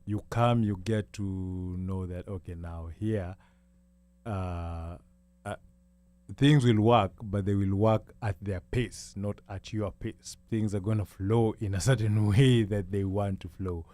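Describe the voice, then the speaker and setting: A man's voice: on the low side, fairly even intonation, a little slow, dark tone, no room sound. A man talking as a panelist on a studio show, rambling and chuckling, mixing Swahili into English. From Kenya. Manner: rambling, laughs between phrases, mixes Swahili. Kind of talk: casual panel chat.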